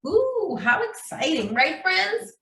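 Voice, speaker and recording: A woman's voice: very high-pitched, very animated, slow pace, a bit bright. A woman leading a children's craft and writing lesson as a preschool teacher, speaking in an encouraging sing-song way and often checking in with questions. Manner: addresses young children, frequent checking questions. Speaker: preschool story time teacher. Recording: roomy echo, distant microphone.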